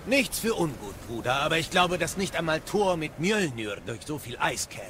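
An actor playing a knowing, wry magical advisor in a video game audio drama, explaining things chattily. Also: male voice, a little high, very animated, normal speed, fairly bright tone. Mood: wry, doubtful. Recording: long reverb, clear background noise.

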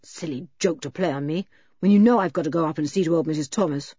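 A woman voicing a gruff, angry, defensive working man in an audiobook, with emphatic rustic turns of phrase. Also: low-pitched, fast, warm tone, dry recording, muffled recording. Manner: rural turns of phrase, repeats tags for emphasis. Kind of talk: audiobook character voice.

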